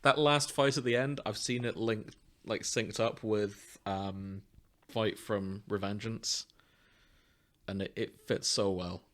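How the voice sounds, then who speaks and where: A man with a fairly low voice chats as a podcast host. His delivery is casual and enthusiastic, full of joking banter with his co-hosts. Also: fairly even intonation, takes it slowly, a bit bright.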